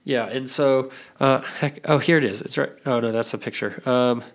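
Man, mid-pitched voice, relaxed podcast host, steers the chat. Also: moderate pace. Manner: easygoing, steers the conversation with fillers. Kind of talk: casual chat among friends.

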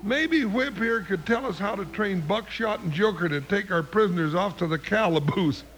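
A blustery cowboy sidekick with a strikingly high voice. He exclaims in a folksy American Western drawl, radio drama style. The old recording is plainly noisy. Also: moderate pace, fairly bright tone. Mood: folksy, curious. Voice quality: high-pitched voice. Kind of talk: 1950s radio western acting.

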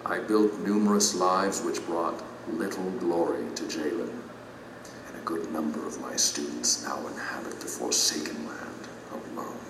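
A deep, humbled, sorrowful former teacher. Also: male voice, steady tone, a little slow, far from the mic, background noise. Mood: solemn regret. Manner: solemn, confessional, grieving. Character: humbled former teacher, regretful male lowly plumber. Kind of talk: allegorical audio drama acting. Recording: echoey hall, music behind, off mic.

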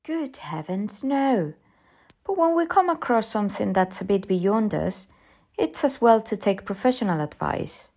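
A woman's voice: mid-range voice, sing-song, measured pace, mellow. A woman narrator voicing a sceptical, reassuring husband in a story, offhand and rational.

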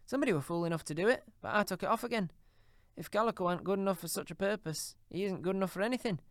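A man voicing a coarse, scheming old charwoman who talks in a shrill, defiant, mocking way. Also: very fast, no echo, studio quality, close to an everyday voice. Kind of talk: audiobook character reading.

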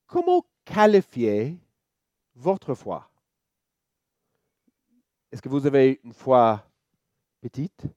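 Slow, mellow Australian man preaching. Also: mid-range voice, no room sound, dull audio. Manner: relaxed, joking, halting with many fillers and restarts. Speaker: church elder preaching. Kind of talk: sermon.

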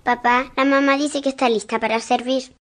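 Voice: high voice, little pitch movement, a little fast, noisy background, close to an everyday voice. An actor playing a tender, emotional blind young girl in a radio drama, pleading and drawing out the words. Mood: polite, subdued. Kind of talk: Spanish-language radio drama acting.

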